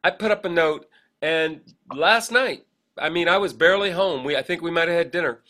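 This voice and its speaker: Bright, higher-voiced male podcast co-host. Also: brisk. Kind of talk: casual anecdotal chat.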